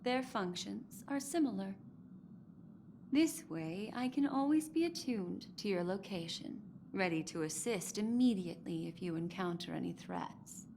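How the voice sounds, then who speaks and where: A woman's voice: mid-range voice, animated, a little slow, creaky, darkish tone, slight background noise. An actress voicing an enigmatic, teasing and seductive woman in a video game, poised and coy with lingering, theatrical phrasing. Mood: smooth, explaining. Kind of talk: scripted video game character dialogue. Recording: clean voice over game music.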